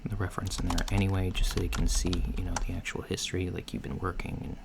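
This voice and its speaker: A man playing a laid-back alien job interviewer in a soft-spoken ASMR roleplay, hesitant and matter-of-fact, with keyboard typing behind him. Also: sits low, little pitch movement, fast talker, vocal fry. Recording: faint noise, lo-fi ASMR, keyboard sounds. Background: computer keyboard typing and clicks.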